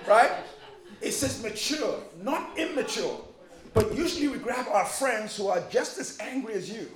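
A man's voice: extremely high pitch, lively intonation, normal speed, echoey room. A man from Liberia preaching a sermon as a pastor, emphatic and joking, with repeated phrases. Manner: emphatic preaching with humor and repetition.

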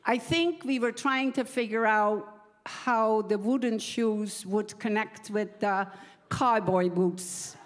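A woman in her seventies from the Netherlands with a Dutch accent, preaching a personal testimony in an earnest, deliberate way with pauses. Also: fairly high pitch. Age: 70s. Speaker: guest preacher giving a testimony. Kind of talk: sermon testimony to a church gathering.

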